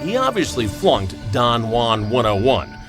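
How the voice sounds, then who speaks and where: A man's voice: mid-range voice, sing-song, a little slow. Dramatic male TV crime narrator. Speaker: TV documentary narrator. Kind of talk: scripted narration. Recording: TV clip with background music.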